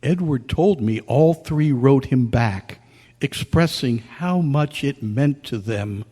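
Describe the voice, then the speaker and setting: A man acting a gentle listener and narrator in a staged radio drama, speaking deliberately. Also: medium pitch, lively intonation, slightly rough. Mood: gentle, concerned. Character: Colonel Bear, kindly listener comforting a ghost, also narrator. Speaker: narrator and actor. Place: live club meeting in a hall. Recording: echoey hall with long reverb.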